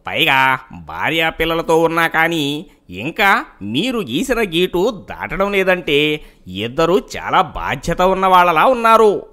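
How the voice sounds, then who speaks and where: A man voicing a clever, confident, good-natured younger brother-in-law in an animated moral story. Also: on the high side, measured pace, heavily acted. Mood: persuasive.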